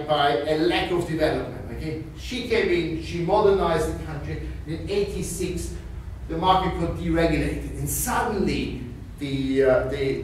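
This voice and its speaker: A middle-aged man lectures on finance, his voice a little raised in pitch and lively with gestures. He is picked up by a distant camera in a small, very echoey classroom. A faint hiss sits under the sound. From Austria. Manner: animated, gestures widely, peppers talk with "okay?". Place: small classroom with screen and whiteboard. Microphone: far camera.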